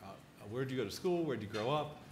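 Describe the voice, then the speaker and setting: A man moderating a live on-stage conversation, addressing guest and audience in a deliberate, ceremonious way. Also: mid-range voice, animated, a little mellow. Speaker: interviewer and moderator. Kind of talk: formal live on-stage hosting. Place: live event in a large room. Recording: echoey, noisy event room.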